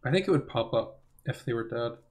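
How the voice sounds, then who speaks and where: A young man giving rambling, self-correcting let's play commentary, his voice hoarse as if he is losing it. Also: mid-range voice, animated, a little fast. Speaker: gaming channel host.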